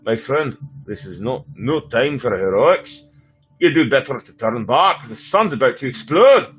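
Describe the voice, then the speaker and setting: A man playing a grim, cautious starship commander in a sci-fi dramatic reading, serious and urgent, with a husky voice. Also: mid-range voice, expressive, unhurried, soft background music, natural voice.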